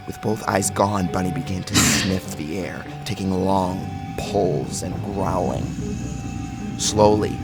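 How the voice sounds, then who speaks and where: A man's voice: on the low side, vocal fry. A man giving a theatrical, suspenseful first-person narration of a horror story. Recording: loud music under the narration. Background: loud music.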